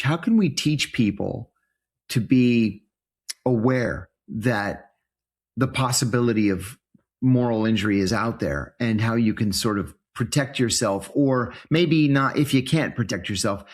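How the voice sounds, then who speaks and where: A middle-aged man in a conversational interview, speaking candidly in a measured, thoughtful and deliberate way. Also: medium pitch, breathy edge.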